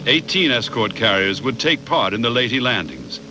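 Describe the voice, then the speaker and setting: A man reads scripted documentary narration in a measured, factual way. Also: medium pitch, very animated, noisy background.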